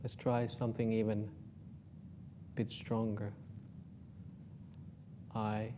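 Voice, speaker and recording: A man from Finland with a Finnish accent, a Buddhist monk leading a guided meditation in an informal, gently joking way with soft, slow guiding phrases. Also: fairly low pitch, level tone, a little hiss or hum.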